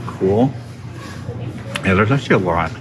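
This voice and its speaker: A man with a slightly low voice talks casually through a shopping walkthrough, upbeat and full of little fillers as he reads labels aloud. He records on a handheld phone inside a big store, with a clear hum of shop noise around him. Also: lively intonation, measured pace. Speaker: shopping vlog host. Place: inside a large discount store. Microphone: handheld phone camera. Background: store ambience.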